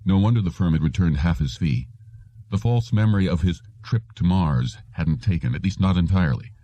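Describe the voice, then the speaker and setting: A man's voice: deep voice, expressive, brisk, warm tone, dry recording. A man reading a science fiction story aloud as scripted narration. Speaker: audiobook narrator.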